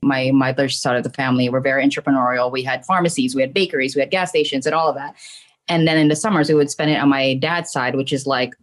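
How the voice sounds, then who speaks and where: Podcast guest: woman, middle-aged, low register, from the Philippines, casual and candid personal storytelling with emphatic check-ins. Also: fairly even intonation, fast. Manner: candid, emphatic, often checks in with "right" and "you know". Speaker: podcast guest, trauma coach and spiritual medium.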